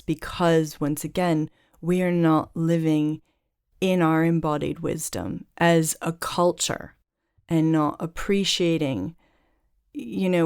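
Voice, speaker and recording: A woman's voice: deep voice, darkish tone, clean tone. A woman hosting a podcast interview, talking earnestly and thoughtfully, building her points in long connected runs. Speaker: podcast host. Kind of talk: reflective conversational interview.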